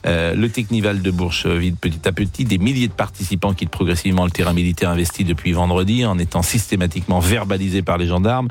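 A man's voice: sits low, fairly even intonation, fast, hardly any pauses. A man hosting a live radio talk show, chatting casually and stumbling over repeated words. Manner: hesitant, repeats words, fillers. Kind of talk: casual on-air chat.

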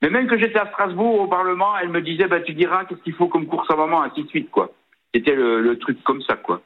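A man's voice: fairly high pitch, brisk. Older man with a regional accent on a phone line. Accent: Vosges French. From Vosges, France. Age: 60s. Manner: hesitant, many fillers and restarts. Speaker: caller. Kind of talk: phone-in call to a radio show.

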